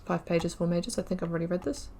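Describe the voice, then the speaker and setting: A woman's voice: lowish voice, fast, dark tone, slight background noise. A woman casually commenting on a game playthrough, thinking aloud, trailing off and correcting herself as she reads through in-game notes. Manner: musing, thinking aloud with fillers and self-corrections. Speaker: gaming video creator.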